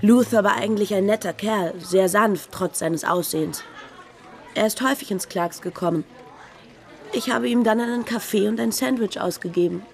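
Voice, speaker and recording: A woman playing a middle-aged café owner reminiscing in an unhurried way in a German radio drama. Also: mid-range voice, gravelly, a little mellow, some chatter behind, natural voice.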